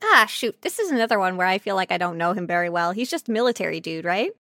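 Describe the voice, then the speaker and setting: A woman's voice: medium pitch, sing-song, quick pace, bright, forceful tone, no room sound, clean studio sound. A woman co-hosting a podcast in casual, playful banter with a silly, joking manner. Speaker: podcast co-host.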